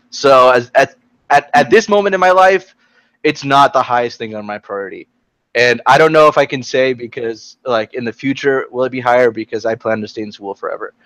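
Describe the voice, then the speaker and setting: A young American man from Texas in a casual group discussion, thinking aloud in a halting way. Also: mid-range voice, a bit bright, dry recording, low-quality recording. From Texas, United States. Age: young adult. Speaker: youth panel participant. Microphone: computer microphone on an online call.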